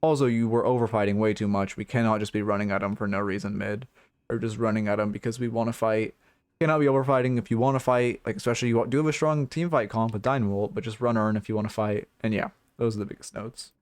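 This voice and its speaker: A hoarse man giving blunt, rambling game coaching notes on a livestream, full of fillers and restarts. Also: on the low side, fairly even intonation, fast talker, darkish tone, no echo. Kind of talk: casual livestream coaching talk.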